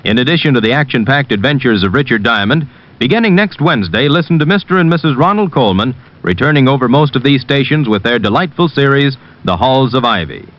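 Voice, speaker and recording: Male vintage network announcer, medium pitch, varied delivery, dull old recording. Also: expressive, a little fast. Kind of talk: scripted 1950s radio promo. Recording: muffled vintage radio recording.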